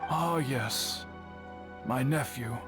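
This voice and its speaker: A man voicing a calm, formal older bladesmith in a video game, speaking in measured tones. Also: medium pitch. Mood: worried. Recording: game audio with music.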